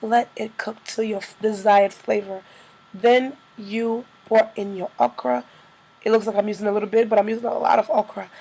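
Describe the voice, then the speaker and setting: A woman with a slightly hoarse, congested-by-a-cold voice, narrating a casual cooking tutorial as a vlogger. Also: medium pitch, a little mellow, low-quality recording.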